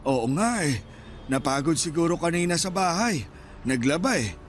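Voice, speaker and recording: An actor playing a small, shy old Filipino husband who sells at night, speaking plainly in a radio drama. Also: male voice, fairly high pitch, very animated, moderate pace, slight background noise. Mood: reflective.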